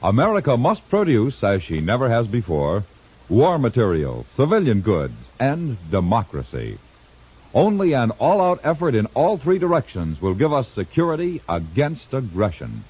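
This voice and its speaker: Emphatic, very animated old-time radio announcer man. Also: medium pitch, normal speed, husky. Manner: formal, emphatic. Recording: muffled old radio recording.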